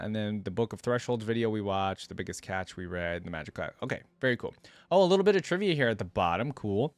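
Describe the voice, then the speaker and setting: A young man giving casual reaction commentary, reading aloud and musing with expressive exclamations into a close desk microphone. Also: lowish voice, dry recording. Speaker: reaction video host. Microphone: close desktop microphone.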